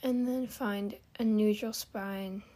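A woman hosting a guided meditation, giving gentle, soothing instructions with long pauses. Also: medium pitch, steady tone.

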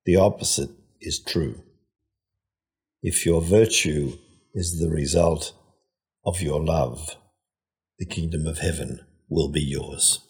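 A man giving a scripted devotional narration in a measured, reflective way, with a husky voice. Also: very deep voice, darkish tone.

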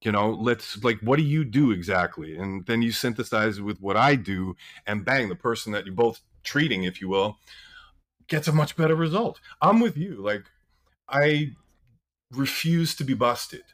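A man's voice: medium pitch, expressive, moderate pace, fairly bright tone. A man in his sixties, a healthcare practitioner, talking emphatically and bluntly in a casual podcast conversation. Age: 60s.

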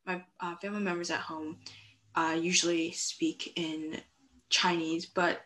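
A woman's voice: lowish voice, little pitch movement, measured pace, a bit bright, rough recording. A young woman speaking casually as part of a student group discussion.

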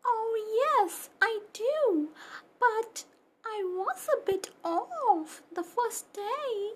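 Storybook puppy boy, female performer: tiny, very high, animated, sweet, eager, prone to whining. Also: drawn-out words, exaggerated voice. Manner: childlike, eager, tearful when upset. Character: excitable, sweet, whiny little boy puppy. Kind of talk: children's storybook read-aloud.

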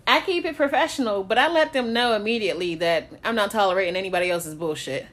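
A woman's voice: mid-range voice, fast, fairly bright tone. A woman talking candidly in a casual chat, blunt and swearing freely, often breaking into laughter.

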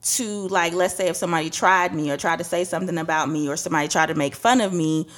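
A young woman from Trinidad telling a personal story candidly on a podcast, getting animated when she quotes people. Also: fairly low pitch, fast. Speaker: podcast co-host. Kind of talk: casual podcast chat.

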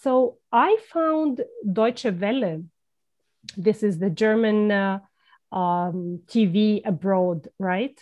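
A woman with a Levantine Arabic accent, a language teacher and former journalist, speaking as a podcast guest in halting speech full of fillers and restarts. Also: medium pitch, darkish tone, lo-fi sound. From Palestine. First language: Palestinian Arabic. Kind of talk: conversational podcast interview.

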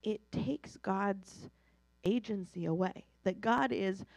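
A woman's voice: medium pitch, slow pace, creaky, a little mellow, no room sound. A woman with an American accent speaking reflectively and emphatically in a religious study discussion, in a conversational, personal way. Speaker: participant in a Talmud class discussion.

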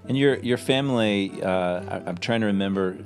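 A man, a senior pastor, hosting an interview and asking friendly, halting questions. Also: sits low, soft background music. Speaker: senior pastor and podcast host.

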